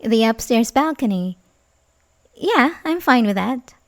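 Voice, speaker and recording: A woman's voice: a little high, expressive, slow pace. A young woman acting an ASMR roleplay as a sweet, coaxing, secretly obsessive babysitter, speaking hesitantly and intimately with little giggles. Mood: coaxing, playful.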